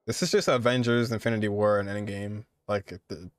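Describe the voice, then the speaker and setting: A man's voice: on the low side, steady tone, a little fast, no echo. Casual young man reacting to a game. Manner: informal, slangy. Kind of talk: casual live reaction commentary. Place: indoor streaming setup, game audio behind.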